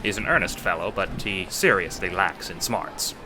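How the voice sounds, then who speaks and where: A man's voice: low voice, normal speed, noisy background. A man narrating a scripted audio drama in the second person, with dramatic storytelling delivery.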